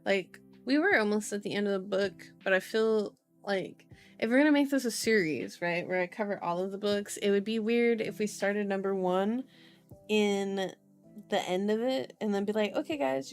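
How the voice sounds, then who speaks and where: A woman's voice: medium pitch, normal speed, smooth, soft background music. A sleepy woman hosting an ASMR stream, chatting casually to viewers in a cutesy, playful, rambling way.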